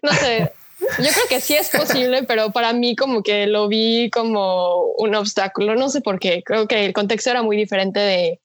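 A woman's voice: on the high side, moderate pace, dry recording. A woman in her twenties, chatting casually as a guest, hesitant and often laughing. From Mexico. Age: 20s. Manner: laughing, hesitant, lots of fillers. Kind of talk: casual chat.

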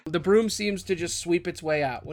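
A man's voice: sits high, normal speed. A man improvising dramatic, descriptive narration as the game master of a tabletop role-playing game.

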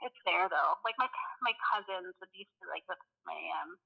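Young woman guest, gravelly voice, muffled lo-fi audio, hesitant and chatty. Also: lowish voice, normal speed. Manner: rambling, hesitant, frequent fillers and laughs. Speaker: interview guest. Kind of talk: casual conversation.

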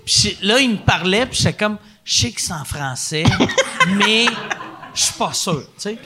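High, lively Québécois podcast host. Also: male voice, unhurried, very noisy. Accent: Québécois French. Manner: animated questions, laughing and swearing. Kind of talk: casual podcast chat.

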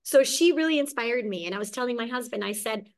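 A woman's voice: fairly high pitch, animated, fast. A woman from Hungary, a business owner, telling her story in a casual interview, recorded at her indoor garden business.

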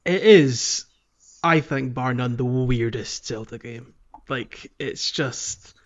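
A man's voice: mid-range voice, takes it slowly. A man co-hosting a podcast in casual discussion, on a slightly lo-fi microphone. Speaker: podcast co-host. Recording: slightly dull, lo-fi microphone sound.

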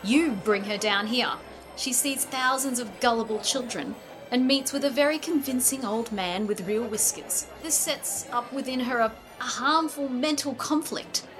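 A woman's voice: a little high, normal speed, music in the background, close to an everyday voice, poor audio. A woman playing a strict, practical mother and store executive in a radio play, speaking in a firm, proper way. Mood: stern, insistent. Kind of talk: old-time radio play acting.

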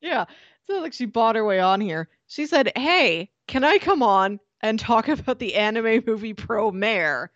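A woman talks in casual podcast chat, her pitch rising and falling a great deal. She is animated and laughs as she talks. The sound is dry and close. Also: measured pace, no room sound, lo-fi sound. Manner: animated, laughs while talking. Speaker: podcast co-host.

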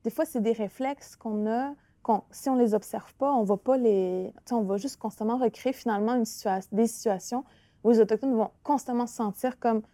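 Woman, Quebec French accent, Innu native speaker, mellow warm tone, dry close sound, reflective and halting. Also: a little high, no echo. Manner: thoughtful, hesitant, many restarts and "tu sais". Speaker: author and artist guest. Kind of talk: reflective conversational interview.

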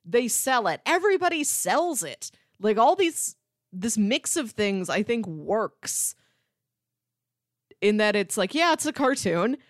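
A woman's voice: a little high, very animated, slow pace, no echo. Emphatic woman podcast host, dry close sound. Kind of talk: casual film discussion.

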